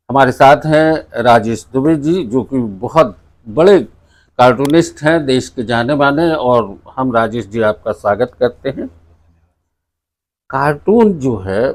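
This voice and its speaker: A man hosts an interview. He speaks very slowly, setting up the conversation and putting questions to his guest. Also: medium pitch, darkish tone. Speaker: interviewer and host. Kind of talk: interview with scripted-sounding introduction.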